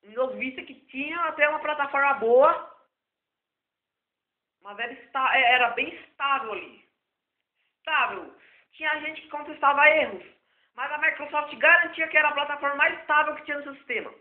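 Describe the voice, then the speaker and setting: Hoarse man, very high voice, rambling tutor, tinny and echoey. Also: moderate pace, long pauses. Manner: rambling and repetitive. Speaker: self-taught computer tutor. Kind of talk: improvised spoken tech lesson. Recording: echoey, phone-like lo-fi recording.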